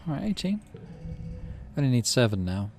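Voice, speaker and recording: A man hosting a let's play, reading a story game aloud with expressive delivery and muttering asides about his moves. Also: mid-range voice, moderate pace, faint music behind.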